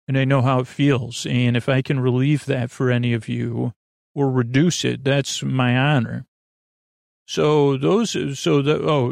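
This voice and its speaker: A man hosting a bedtime podcast in a gentle, reassuring, conversational monologue that meanders, halts and interrupts itself. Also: mid-range voice, gravelly, no room sound, studio quality. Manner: meandering, halting, self-interrupting sentences that trail off. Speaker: podcast host.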